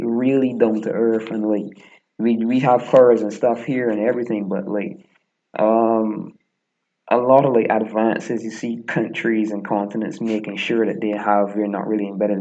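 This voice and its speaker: A man's voice: medium pitch, vocal fry, darkish tone, dull audio. A young man in his twenties with a Barbadian accent, talking casually while he plays a game, wandering through his thoughts with lots of fillers and little chuckles. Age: 20s. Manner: meandering, filler-heavy, chuckling asides. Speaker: gaming vlogger. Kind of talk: rambling casual commentary while gaming. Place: small room at home, echoey.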